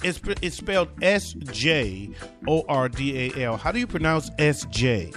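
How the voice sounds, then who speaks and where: A man's voice: mid-range voice, lively intonation, measured pace, fairly bright tone, soft background music. A man hosting his own podcast, commenting casually on the news, chatty and joking, with lots of "uh" fillers. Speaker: podcast host.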